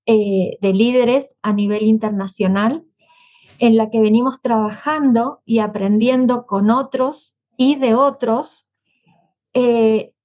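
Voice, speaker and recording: A woman school principal and panelist speaking in her native Spanish, measured, pausing with frequent fillers. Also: on the high side, darkish tone, muffled recording. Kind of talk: prepared panel answer.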